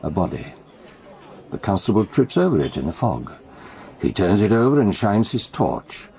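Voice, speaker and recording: Gravelly, brooding British male storyteller. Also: fairly low pitch, very animated, dark tone, heavily acted. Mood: suspenseful. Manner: deliberate, atmospheric, dramatic phrasing. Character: brooding male storyteller recounting crimes, theatrical and enigmatic. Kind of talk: radio drama acting.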